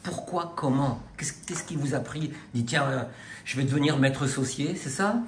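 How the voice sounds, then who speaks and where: Chatty interviewer in an echoey room. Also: male voice, mid-range voice, normal speed. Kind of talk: interview questions. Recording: roomy echo.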